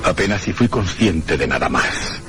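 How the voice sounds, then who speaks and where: An actor playing a haunted man recounting his ordeal in a radio horror drama, with theatrical, deliberate phrasing. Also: sits low, animated. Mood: haunted dread.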